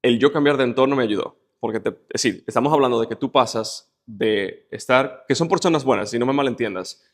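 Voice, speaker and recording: A man's voice: medium pitch, brisk, few pauses. A man with a Dominican Spanish accent speaking as an interview guest in an emphatic, motivational way, often building points with lists and rhetorical questions. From Dominican Republic.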